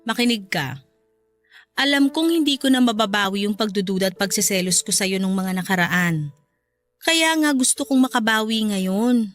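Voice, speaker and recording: A woman's voice: mid-range voice, moderate pace, soft background music, close to an everyday voice. A woman playing a jealous, insecure young wife in an emotional, argumentative radio drama scene. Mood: frustrated pleading. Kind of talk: radio drama dialogue acting.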